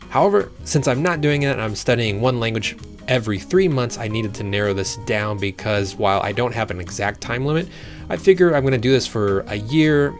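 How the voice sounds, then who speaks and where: A man talking casually and enthusiastically to camera about his language-learning plans. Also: fairly low pitch. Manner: enthusiastic, upbeat, occasional fillers. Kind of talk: casual explanatory vlog to camera. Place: home in Asheville, North Carolina.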